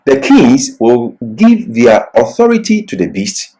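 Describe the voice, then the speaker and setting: A man giving a religious seminar lecture as a Bible class teacher, with deliberate, emphatic phrasing broken by pauses mid-sentence. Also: medium pitch, sing-song, mellow, poor audio.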